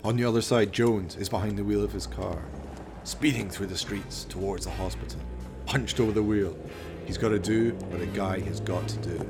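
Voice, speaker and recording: A man's voice: on the low side, fairly even intonation, moderate pace, music in the background. A man narrating a radio play as dramatic scripted storytelling.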